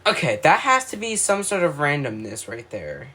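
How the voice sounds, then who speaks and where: A man's voice: on the high side, very animated, normal speed, bright, forceful tone, husky. A man doing amateur scripted narration in an announcer-like way, stumbling over words at times. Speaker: video creator narrating own fictional alert scenario. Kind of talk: amateur scripted narration of mock emergency broadcasts. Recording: noticeable background noise.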